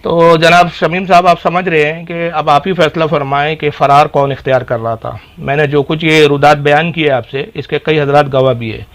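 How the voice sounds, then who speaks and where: Higher-pitched man recounting a dispute. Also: fairly even intonation, moderate pace, hardly any pauses, fairly bright tone. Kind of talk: recounting a dispute on a phone call.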